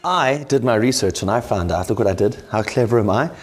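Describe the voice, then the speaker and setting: A man's voice: fairly low pitch, expressive, a little fast, soft background music. A man hosting a cooking show, with a South African accent, asking playfully naive questions and joking with his guest. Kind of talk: comic banter on a cooking show.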